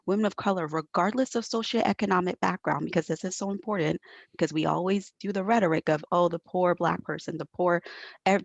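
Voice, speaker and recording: A woman's voice: fairly low pitch, vocal fry, no room sound. A woman from Barbados speaking as an expert panelist, articulate and emphatic, repeating phrases for weight. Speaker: panelist, mental health professional. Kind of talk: panel discussion, explanatory advocacy.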